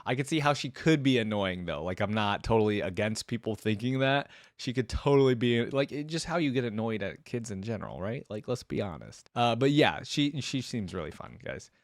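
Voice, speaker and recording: Man reacting with lively, swinging intonation. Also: medium pitch, a little fast, few pauses, no echo, clean studio sound. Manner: enthusiastic, exclaims often. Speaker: host of a reaction video. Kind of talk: casual reaction commentary.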